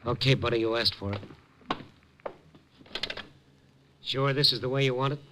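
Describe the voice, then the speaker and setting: An actor playing a hardboiled newspaper reporter who narrates in dry, laconic wisecracks in an old radio drama. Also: male voice, mid-range voice, steady tone, quick pace, noisy background, natural voice. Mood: wry. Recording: old radio broadcast, music bed.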